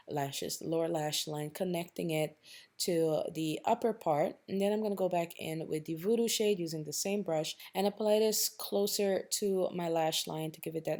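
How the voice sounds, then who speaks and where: Low, raspy woman hosting a makeup tutorial. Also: a little mellow. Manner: chatty, addresses viewers directly, tags lines with "okay?". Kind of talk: casual step-by-step tutorial narration.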